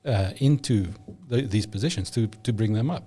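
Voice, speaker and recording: Mellow-voiced man. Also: lowish voice, moderate pace, husky. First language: Afrikaans. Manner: emphatic, opinionated, frequent restarts. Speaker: podcast guest. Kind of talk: casual conversation.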